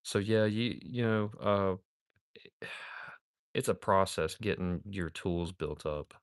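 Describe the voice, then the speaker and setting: Thirties male hobby streamer, low, smooth voice, easygoing and rambling, says "dude" a lot. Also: takes it slowly, no room sound, clean studio sound. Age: 30s. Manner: laid-back and rambling, calls viewers dude and man. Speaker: model-kit builder streaming live. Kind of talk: casual live-stream chat while building.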